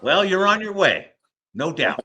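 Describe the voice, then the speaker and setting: A man's voice: high voice, very animated, measured pace, sharp tone, dull audio. An American man hosting a sports show, talking conversationally as an interviewer.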